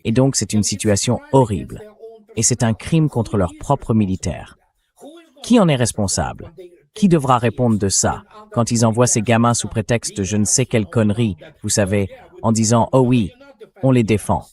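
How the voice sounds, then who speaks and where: A man's voice: lowish voice, lively intonation, moderate pace, darkish tone. A man reading a translated interview as a guest commentator, speaking bluntly and emphatically about military affairs.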